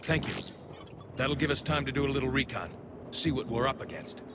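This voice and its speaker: A man's voice: mid-range voice, lively intonation, a little fast, very noisy, lightly acted. A man voicing an earnest, burdened alien lieutenant in a video game, speaking in a grave, dutiful, formal way.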